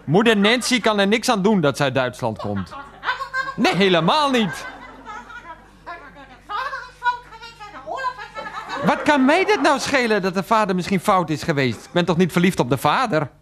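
An exasperated grown son in a nineties radio play. His pitch swings up and down as he protests and placates his mother on the phone. He talks haltingly and repeats himself. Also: sing-song, crowd noise. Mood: indignant. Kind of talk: 1990s Dutch radio play, one-sided phone call.

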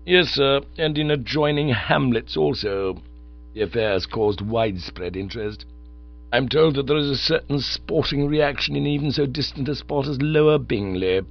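A man voicing a grave, deferential middle-aged valet who speaks in a formal, measured way. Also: mid-range voice, animated, vocal fry, pitched above the performer's own voice. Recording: dull lo-fi recording with mains hum.

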